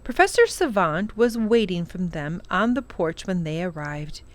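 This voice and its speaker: A woman reading a children's novel aloud in an unhurried, expressive storytelling manner. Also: on the low side. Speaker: children's storytime reader, librarian.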